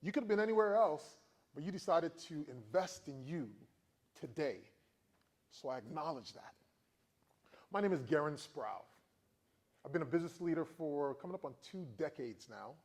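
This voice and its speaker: A man from the Virgin Islands in his forties tells stories to a room of students, keeping them engaged with frequent questions. His voice sits a little high and swings with the story. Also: expressive, moderate pace, pauses often. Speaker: motivational speaker. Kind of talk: motivational talk to students.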